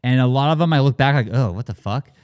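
A man's voice: mid-range voice, quick pace, a little mellow, clean tone. A man co-hosting a casual movie podcast, joking and enthusiastic, with some swearing. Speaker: podcast co-host.

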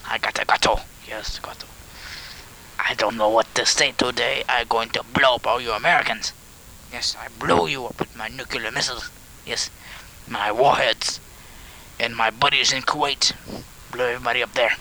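A man's voice: medium pitch, steady tone, moderate pace, sharp tone, a little hiss or hum, rough recording. A man voicing a blustering Soviet villain who boasts about blowing things up, in broken put-on Russian-style English, rambling and giggling in an improvised home tape skit. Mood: boastful. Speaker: comedy character on a home tape.

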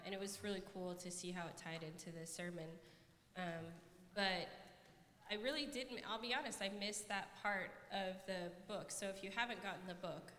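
A woman's voice: lowish voice, a bit bright. Young woman with a South Dakota accent. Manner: candid, slightly self-conscious, hesitant fillers. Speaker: church member, student. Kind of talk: casual testimony on stage. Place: church sanctuary. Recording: echoey hall with faint room noise.

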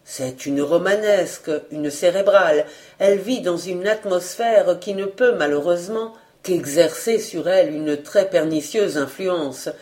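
A woman reader voicing a sincere, kindly young male journalist in an audiobook, speaking in a measured, formal way. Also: lowish voice, darkish tone, lightly acted. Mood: regretful.

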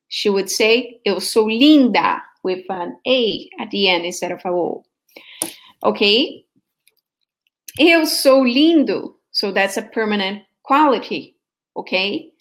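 Slow, patient Brazilian woman teaching. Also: a little high, fairly bright tone. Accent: Brazilian Portuguese. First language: Portuguese. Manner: patient, explains slowly, checks in with "okay?". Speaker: Portuguese teacher. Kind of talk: sample language lesson.

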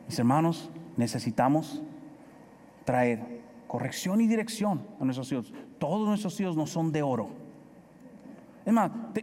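Emphatic Dominican pastor preaching in a hall. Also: male voice, on the high side, a little hiss or hum. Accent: Dominican Spanish. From Dominican Republic. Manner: preaching with emphatic repetition, swinging between loud and soft. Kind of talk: sermon.

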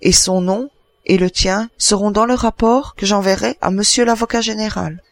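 A brisk, satisfied army officer, acted by a male narrator in a literary tale. He talks freely, with the voice raised high and a creaky edge. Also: fairly even intonation, dry recording. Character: brisk, satisfied soldier, an adjutant reporting a capture.

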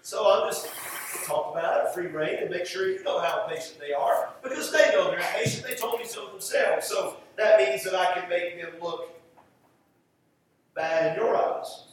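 A man with a Southern US accent preaching a sermon emphatically, repeating phrases and pausing for effect. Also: high-pitched. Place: large echoey room, preaching to a congregation. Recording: echoey room, distant mic, faint noise.

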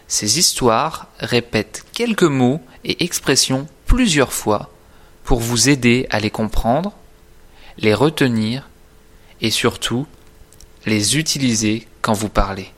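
Guy teaching, really slow and careful, medium pitch, scripted lesson. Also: animated, fairly bright tone. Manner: deliberate, carefully articulated, didactic. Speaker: French teacher presenting own course. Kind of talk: scripted instructional narration.

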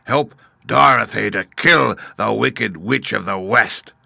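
Guy doing a huge roaring beast, slow gruff booming, kinda hollow. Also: pushed and bright, dry recording, full character voice. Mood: commanding. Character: huge roaring five-eyed male beast.

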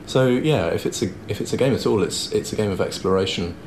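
A man's voice: lowish voice, expressive, fast, noisy background. A man hosting a casual podcast, jokey and a little rambling. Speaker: podcast host. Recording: roomy with music behind.

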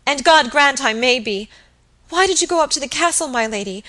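A woman's voice: high voice, lively intonation, brisk, bright, forceful tone, pitched above the performer's own voice. A woman voicing a hysterical young servant woman in an audiobook drama, sobbing, gasping and pleading in terror. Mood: anguished, accusing.

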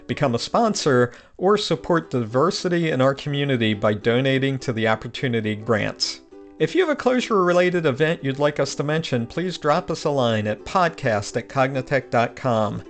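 Man reading podcast announcements. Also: mid-range voice. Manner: even, read-out delivery. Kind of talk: scripted announcements.

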